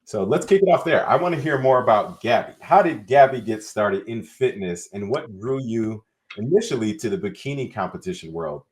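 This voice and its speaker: A man's voice: fairly low pitch, animated, fairly quick. A man hosting a fitness podcast, talking in an upbeat, conversational way with plenty of fillers. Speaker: podcast host. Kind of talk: podcast interview.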